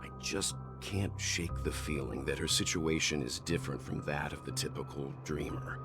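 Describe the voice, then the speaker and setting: Very deep, grave traveller. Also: male voice. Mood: grave, wary. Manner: measured, serious. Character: calm, wary, very deep-voiced male traveller in a sci-fi game. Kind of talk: scripted game dialogue. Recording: game dialogue over music.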